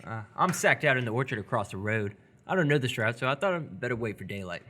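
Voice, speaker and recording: A man's voice: mid-range voice, animated, fast, a bit bright, slight background noise, natural voice. An actor playing a friendly, awkward seventeen-year-old boy in live radio theatre, talking casually and a little hesitantly. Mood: hesitant, polite.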